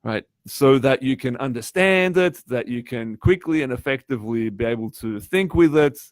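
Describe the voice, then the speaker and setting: A man whose first language is Polish gives a chatty coaching talk live to viewers, often asking them questions. His voice sits at an ordinary middle pitch. It was recorded on a close, dry mic with almost no room sound. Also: lively intonation, moderate pace, no echo. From Poland. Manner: chatty, asks the audience questions. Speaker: test prep tutor.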